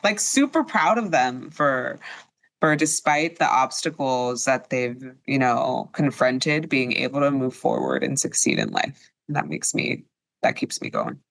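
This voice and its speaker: A woman's voice: rumbling low, very animated, unhurried, gravelly, a bit bright, no room sound. Young adult woman podcast guest, earnest and upbeat, dry close sound. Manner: earnest, upbeat, talks with many fillers. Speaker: guest, immigration attorney and law professor. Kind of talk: casual podcast interview.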